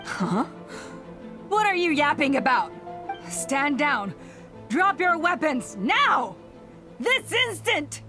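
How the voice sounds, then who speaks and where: A woman's voice: sits high, very animated, takes it slowly, long pauses, sharp tone, full character voice. A woman voicing a proud, stubborn, imperious female clan warrior in a video game, speaking formally and dramatically. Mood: commanding. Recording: clear music behind the voice.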